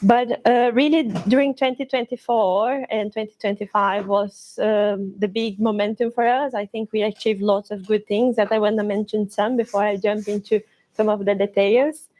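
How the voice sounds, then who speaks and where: A woman's voice: medium pitch, fairly even intonation, darkish tone, low-quality recording. Brazilian woman giving a conference talk. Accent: Brazilian Portuguese. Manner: slightly halting, with restarts and fillers. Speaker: conference presenter. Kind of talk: conference presentation.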